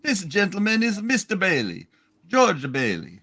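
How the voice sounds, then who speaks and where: Guy doing an bartender, friendly and hammy, high voice, takes his time. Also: wildly swooping pitch, measured pace, bright, forceful tone, breathy edge, full character voice. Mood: worried, kindly. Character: friendly concerned Italian barkeeper in a Christmas story. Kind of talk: hammy amateur radio play reading.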